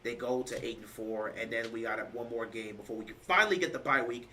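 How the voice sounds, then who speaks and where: Bright-voiced guy doing sports talk, tired and kinda hoarse, keeps hammering his point. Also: medium pitch, brisk, slight background noise. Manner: emphatic, repeats points, rising to loud stresses. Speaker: sports show host. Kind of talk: casual sports talk, answering live chat.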